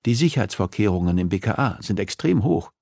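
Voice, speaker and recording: A man's voice: fairly low pitch, big pitch swings, a little fast, vocal fry, darkish tone, dry recording, studio quality, exaggerated voice. A man narrating an audiobook, voicing a captive female homicide detective who is defensive, stubborn and strained under interrogation. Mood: defiant.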